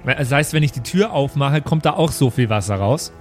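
A man's voice: mid-range voice, animated, normal speed, soft background music. A man playing a tabletop role-playing game, casually saying what his character does. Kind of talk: casual live tabletop play, speaking in character.

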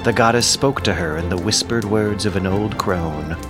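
A man narrating an epic audio drama in a measured, theatrical storytelling manner. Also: lowish voice, over music.

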